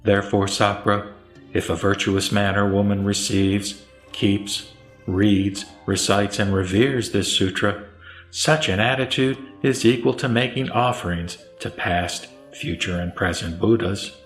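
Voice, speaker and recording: A man reciting the Buddha's part in a scripted sutra audio drama, as a serene, authoritative teacher with solemn, deliberate phrasing. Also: fairly low pitch, steady tone, a bit bright. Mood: serene, instructive. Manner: solemn, didactic, deliberate listing. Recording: roomy echo, music bed underneath.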